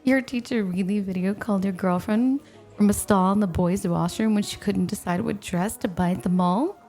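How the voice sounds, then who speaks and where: A woman's voice: medium pitch, moderate pace, warm tone, natural voice. A woman playing a playful, possessive snow spirit in an ASMR roleplay, coaxing and teasing the listener with coy, intimate talk. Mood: playfully impressed. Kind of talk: ASMR roleplay, scripted, intimate one-sided dialogue.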